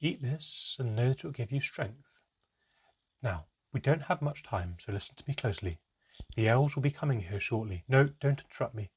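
A commanding female elf, formal and pressing, with a raised, husky voice, as a male narrator puts her on. She speaks in clipped, urgent orders in this storybook reading. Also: medium pitch, animated, normal speed.

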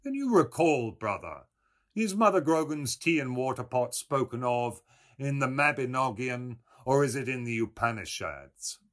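A man's voice: mid-range voice, big pitch swings, takes it slowly, sharp tone, voice pushed up, full character voice. A man voicing a brash, mocking young man with a mock-solemn, declamatory manner in a storybook reading. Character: brash, mocking, theatrical young Irish man, boisterous joker.

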